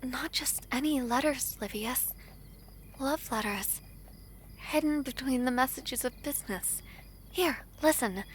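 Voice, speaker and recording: A woman playing a gentle, affectionate princess in a romantic fantasy audio drama, with formal, tender, courtly phrasing. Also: sits high, a little slow, a little hiss or hum. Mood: curious. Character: gentle, affectionate foreign princess, gracious and wistful.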